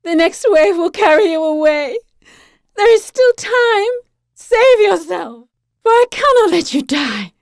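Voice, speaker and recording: A woman acts out a proud young Siren of a radio play, dangerous and alluring. The voice is high and slow, recorded very dry. Every phrase is dramatic and commanding. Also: expressive, no echo, exaggerated voice. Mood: pleading, afraid. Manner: imperious, dramatic, deliberate. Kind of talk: audio drama acting.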